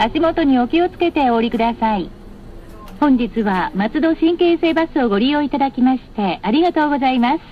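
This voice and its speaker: A woman bus announcer reading scripted stop announcements in a formal, polite, carefully enunciated way over cabin speakers. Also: sits high. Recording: muffled, with clear vehicle noise. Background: vehicle noise.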